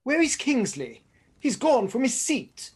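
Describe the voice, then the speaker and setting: A woman's voice: on the high side, big pitch swings, measured pace, slightly rough, heavily acted. A woman playing a long-suffering detective's companion in a comic radio play, with a dry, understated delivery. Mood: reproving.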